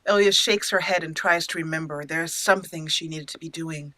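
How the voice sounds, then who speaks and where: A woman hosting a let's play, narrating it as an expressive storyteller and speaking for her character. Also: low-pitched, a little fast, no room sound. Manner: expressive storyteller, acting out lines. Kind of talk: roleplay storytelling over gameplay.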